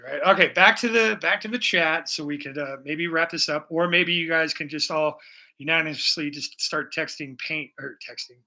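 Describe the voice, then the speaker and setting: A man's voice: a little high, sharp tone. A man in his forties chatting casually with viewers while painting live, rambling, joking and swearing. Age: 40s. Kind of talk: casual live-stream chat.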